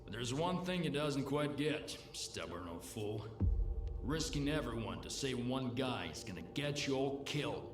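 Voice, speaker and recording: A man playing a world-weary, pragmatic bartender in a lawless zone, with gruff, slangy video game dialogue. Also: medium pitch, animated, unhurried, over music, close to an everyday voice. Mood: resigned. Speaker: bartender character. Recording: roomy echo with noisy game ambience.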